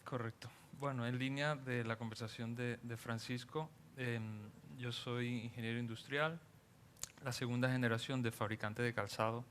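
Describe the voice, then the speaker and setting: A man, speaking as a guest on a panel and explaining at a measured pace with many fillers. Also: mid-range voice, level tone, fairly bright tone, a little hiss or hum. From Venezuela. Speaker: panel guest, engineer and entrepreneur. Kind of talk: panel talk.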